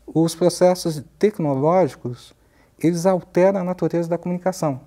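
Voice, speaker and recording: A man in his fifties with a Brazilian accent, a professor explaining ideas in a measured, halting way in a studio interview. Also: fairly high pitch, warm tone. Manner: measured, halting, searching for words. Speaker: university professor, interview guest. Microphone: lapel mic.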